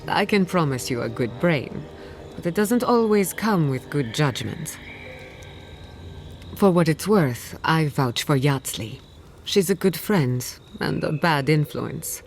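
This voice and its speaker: An actress playing an earnest, burdened but resolute woman scholar in a fantasy video game, speaking in a measured, reflective way. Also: low-pitched, lively intonation, faint music behind, full character voice. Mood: reassuring. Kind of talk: dramatic video game dialogue.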